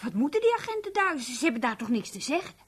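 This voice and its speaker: An actress playing a nagging, sceptical middle-aged housewife in a naturalistic radio play, needling and reproachful in everyday speech. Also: female voice, high-pitched, sing-song, quick pace. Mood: worried, irritated.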